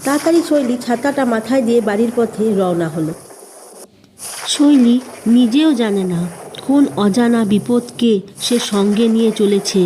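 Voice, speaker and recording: A woman's voice: fairly high pitch, moderate pace, dark tone, slight background noise, poor audio. A woman narrating a scripted story as the storyteller. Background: music.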